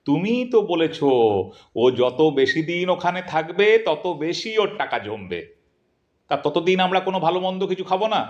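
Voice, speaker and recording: Man acting a high-pitched, animated henpecked Bengali husband. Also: normal speed, dull audio. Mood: hesitant, pleading. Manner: hesitant pleading turning indignant. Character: henpecked, stubborn retired Bengali husband and caring father. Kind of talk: Bengali audio drama acting.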